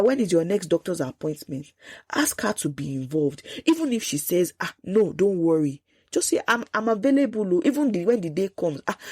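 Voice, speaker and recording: A Nigerian woman in her thirties hosting a solo podcast, congested with a sore throat, talking in an emphatic, animated way. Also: low voice, slightly rough, no room sound, studio quality. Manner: animated, emphatic, quotes people in lively asides. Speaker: podcast host.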